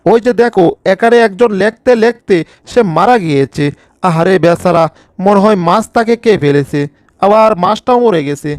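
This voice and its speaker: A high-voiced cartoon bulldog, played by a man, anxious but taking charge. He grumbles and scolds in exclamations. The sound is very dry. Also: expressive, normal speed, a little mellow, dry recording. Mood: scolding, worried. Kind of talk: cartoon fan dub.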